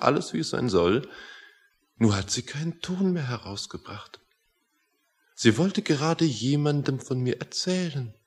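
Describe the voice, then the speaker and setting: An actor in a radio drama playing a sinister, needling man, unnervingly calm and mock-polite, with a husky, creaky voice and insistent manner. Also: on the low side, heavily acted.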